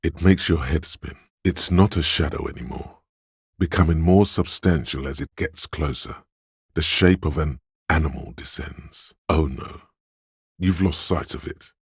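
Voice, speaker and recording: A deep, gravelly inner perception. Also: male voice, no echo, heavily acted. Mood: observant. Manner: measured, observant, softly descriptive delivery. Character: the hero's inner sense of perception, male, watchful and calm. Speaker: voice of a perception skill in a video game. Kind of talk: second-person scripted game narration. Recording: dry close studio recording.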